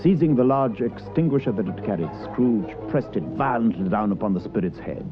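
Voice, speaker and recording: A man's voice: on the low side, animated, fairly quick, close to an everyday voice. A man giving dramatic literary narration in an old radio broadcast, with a tinny sound and music behind.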